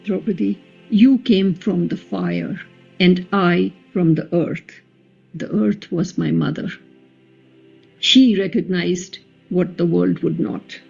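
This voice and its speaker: Woman acting a gentle ancient Indian queen, soft dark tone, unhurried, reflective, radio play, slightly muffled over faint music. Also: on the low side, vocal fry. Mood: grave. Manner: measured, reflective. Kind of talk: dramatic play reading, radio play. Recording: muffled recording with music behind.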